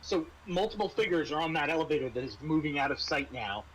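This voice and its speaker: A man runs a tabletop roleplaying game, narrating scenes and explaining rules as game master. His voice is fairly high and forceful, with a bright edge. The recording has faint room noise. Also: lively intonation, quick pace, poor audio.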